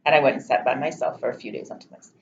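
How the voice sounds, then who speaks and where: A middle-aged woman from Jamaica with a Jamaican accent, recounting her life story in an interview in a measured, candid way. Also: very deep voice, gravelly. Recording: slightly muffled, faint room noise.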